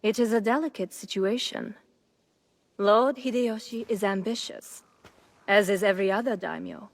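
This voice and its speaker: An actress playing a shrewd, composed noblewoman in a video game, giving counsel in a measured, formal way. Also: mid-range voice, natural voice. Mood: composed, urgent. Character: composed, shrewd noblewoman advising and sending agents to war. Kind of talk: video game cinematic acting.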